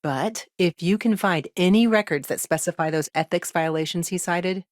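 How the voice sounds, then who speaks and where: An actress voicing a middle-aged, principled and determined lawyer in a video game, with a dry, wry, matter-of-fact way of talking. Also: female voice, lowish voice, normal speed, no room sound, lightly acted. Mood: dry, businesslike. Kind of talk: video game character dialogue.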